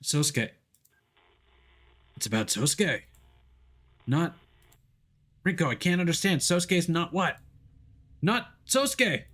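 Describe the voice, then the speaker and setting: Casting: male reader as gruff, defiant detective, blunt and urgent, game story delivery. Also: fairly high pitch, very animated, slow pace, long pauses, a bit bright, voice pushed up, full character voice. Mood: urgent, worried. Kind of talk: dramatic visual novel read-aloud.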